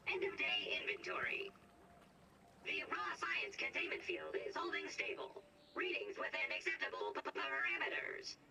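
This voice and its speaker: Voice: high voice, wildly swooping pitch, normal speed, vocal fry, far from the mic, over music, exaggerated voice, rough recording. An actor voicing a polite, fussy burger-flipping robot, with a processed synthetic voice, formal wording and glitchy stutters, in a game audio log. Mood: dutiful. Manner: formal, literal, stuttering on words. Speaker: robot assistant.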